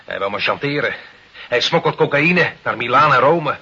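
An actor playing a sly, jovial smuggler and bossy husband in a radio play. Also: male voice, medium pitch, lively intonation, moderate pace, sharp tone, slightly rough, full character voice. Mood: wary. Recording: muffled, noisy old radio recording.

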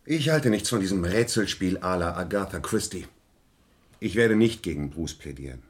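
An actor playing an earnest, stubborn, wary murder suspect in a vintage radio crime play, reasoning emphatically. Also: male voice, low voice, expressive, normal speed, breathy edge.

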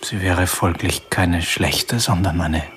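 Radio crime play: laconic male private detective, deep voice, composed and understated. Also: takes it slowly, fairly bright tone, soft background music. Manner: terse, understated. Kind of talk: radio drama dialogue.

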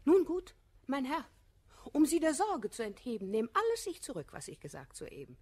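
A woman's voice: sits high, huge pitch swings, moderate pace, a little mellow. An actress playing a self-assured, coquettish society lady, poised and imperious, speaking rhymed verse in a classical radio comedy. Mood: offended.

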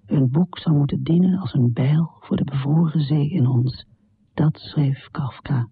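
Brooding woman poet, radio play monologue, husky and raspy, tinny narrow sound. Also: a little slow, dry recording, heavily acted. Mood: solemn, hushed. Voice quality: low, husky, gravelly. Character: troubled, confessional American woman poet, heavy drinker, death-obsessed. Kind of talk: radio drama monologue and poetry recital.

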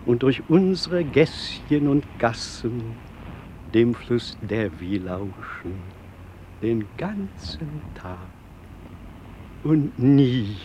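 A solemn old reverend reciting verse. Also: male voice, fairly high pitch, mellow, breathy edge, muffled recording, full character voice. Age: older. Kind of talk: radio play verse recital.

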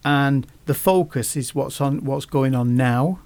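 Man, gravelly, mid pitch, halting, restarts a lot, casual chat. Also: darkish tone. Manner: halting, many restarts and fillers. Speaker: guest. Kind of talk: casual podcast conversation.

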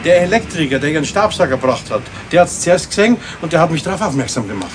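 An actor playing a fussy, nosy, officious apartment caretaker with a Bavarian accent in a children's radio play. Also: male voice, a little high, very animated, fast, noisy background. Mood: fussy, apologetic. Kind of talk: 1970s German children's radio play acting.

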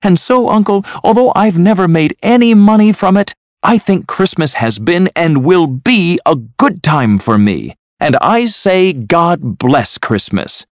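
Friendly young gentleman, the nephew, acted by a male narrator: raised pitch, warm-hearted earnest storybook delivery, dry recording. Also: lively intonation, a little slow, few pauses, no echo. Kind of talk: storybook character voice.

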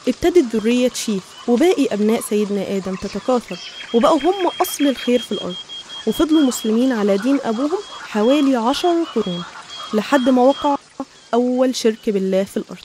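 An Egyptian woman narrates religious stories in a soft-edged, dark timbre. Her pace is brisk and her pitch on the high side, with faint noise behind her. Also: animated. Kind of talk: scripted religious storytelling narration.

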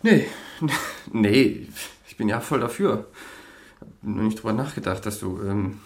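An actor playing an anxious, confused young man who talks haltingly in a naturalistic German audio drama. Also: fairly low pitch, expressive, gravelly. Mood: wry, awkward. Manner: halting, hesitant.

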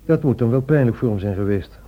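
An actor playing a guarded, courteous husband in a radio play, speaking in a measured, quietly firm and wry way. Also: male voice, medium pitch, animated, highs cut off, close to an everyday voice. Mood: subdued.

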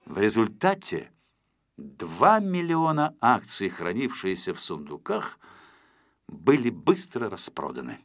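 Expressive, deep-voiced male storybook narrator. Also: slow pace, vocal fry, no room sound, clean studio sound.

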